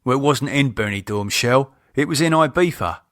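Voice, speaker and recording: A man voicing a gruff, laconic working-class British pub owner with slangy talk in an audiobook. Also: medium pitch, lively intonation, normal speed, a bit bright, dry recording, clean studio sound, natural voice. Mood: matter-of-fact. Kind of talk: audiobook character acting.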